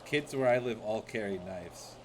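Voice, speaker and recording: A man live-streaming crude, loud, mocking reaction commentary from a home desk mic. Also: lowish voice, animated, normal speed, slight background noise, poor audio.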